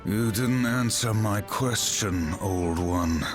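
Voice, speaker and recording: Grim horseman warrior from a game, solemn, slow talker, really deep. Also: over music. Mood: stern. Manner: solemn, blunt, threatening. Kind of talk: video game cinematic acting.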